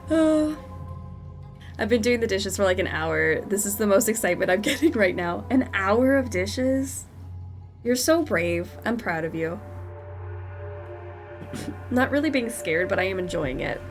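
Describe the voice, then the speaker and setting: A woman's voice: medium pitch, lively intonation, unhurried, long pauses, faint music behind. Stuffy-nosed woman streamer, giggly. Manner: chatty, giggly, reads in-game notes aloud. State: stuffed-up nose from a cold. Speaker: streamer playing a horror game. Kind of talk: casual live-stream commentary.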